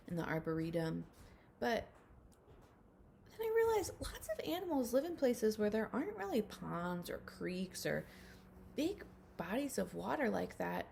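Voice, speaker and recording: A woman's voice: mid-range voice, gravelly, a little mellow, a little hiss or hum. A woman speaking to young children as a teacher in a read-aloud lesson, addressing them directly.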